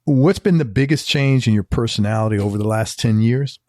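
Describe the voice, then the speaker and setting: A man's voice: medium pitch, a little fast, dry recording. Youthful-voiced man in his fifties, dry sound. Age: 50s. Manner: relaxed, swears freely, calls guest "man" and "bro". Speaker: interview host. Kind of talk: casual conversational interview.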